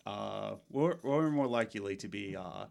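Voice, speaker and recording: A man's voice: on the low side, animated, measured pace, gravelly, darkish tone. A young man co-hosting a casual gaming podcast, rambling with lots of fillers and restarts. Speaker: podcast co-host.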